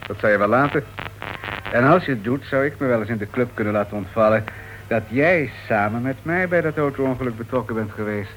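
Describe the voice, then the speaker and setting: An actor playing a smug, menacing former lover in a Dutch radio play, mocking and patronising. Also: male voice, medium pitch, a little fast, highs cut off, lightly acted. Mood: taunting, threatening. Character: smug, menacing male ex-lover, taunting and condescending. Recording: faintly noisy old radio recording.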